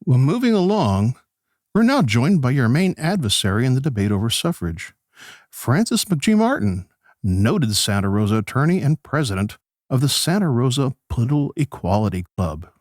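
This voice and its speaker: An actor playing a showy 1911 radio host who announces and moderates a debate in a radio play. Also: male voice, medium pitch, big pitch swings, normal speed, darkish tone, no room sound, studio quality. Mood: probing. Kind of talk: old-time radio show hosting.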